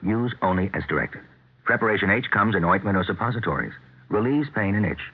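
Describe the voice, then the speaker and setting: A man's voice: fairly low pitch, lively intonation, fast talker, husky. A man reading a scripted 1970s radio commercial as announcer. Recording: phone-like old radio recording.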